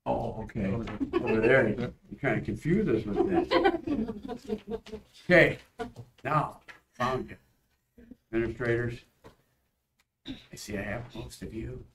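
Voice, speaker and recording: A man with a mid-range voice asks loose, halting questions at a school board meeting. He sounds far off from the microphone. A faint hiss runs under his voice. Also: medium pitch, wildly swooping pitch. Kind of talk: meeting questions and chair remarks. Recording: distant meeting audio with faint noise.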